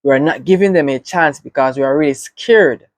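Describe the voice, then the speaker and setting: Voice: medium pitch, brisk, dry recording. A reaction video host with a Jamaican accent, giving earnest, reflective commentary and often checking in with "right?".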